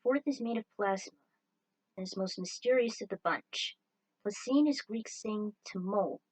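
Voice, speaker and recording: A woman narrates a written story at a middle pitch. She reads from a script as a storyteller, setting out scenes and commentary in her own voice. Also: a little slow, darkish tone, poor audio. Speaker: narrator and writer of fan fiction.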